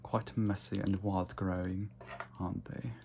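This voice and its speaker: Man playing a low, slow, haughty vampire. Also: level tone, creaky, noisy background. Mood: fussy, condescending. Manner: soft, slow, deliberate, with whispered asides. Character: haughty, domineering, playful male vampire lord pampering his captive. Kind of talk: ASMR roleplay.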